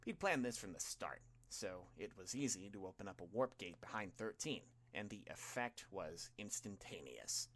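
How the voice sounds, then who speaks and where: Low-voiced man narrating fiction. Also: animated, normal speed, few pauses, a bit bright. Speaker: audiobook narrator. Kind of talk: scripted fiction narration, expressive.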